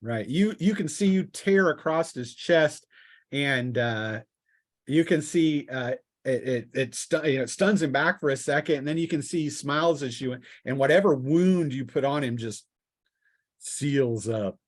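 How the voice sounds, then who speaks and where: A man's voice: medium pitch, unhurried, no echo, clean studio sound. A man running a tabletop roleplaying game as game master, narrating combat casually with vivid scene-setting.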